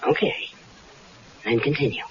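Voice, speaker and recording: A woman's voice: a little slow, slight background noise, natural voice. An actress playing a scheming, unhappily married woman who coaxes and teases, in a 1970s radio drama. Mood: teasing. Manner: teasing, coaxing, knowing. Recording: dull lo-fi 1970s radio recording.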